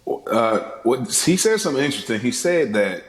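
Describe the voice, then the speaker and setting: A man's voice: medium pitch, big pitch swings, moderate pace, reverberant. A man in a casual film discussion on a podcast, rambling and repeating phrases as he acts out lines. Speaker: podcast panelist.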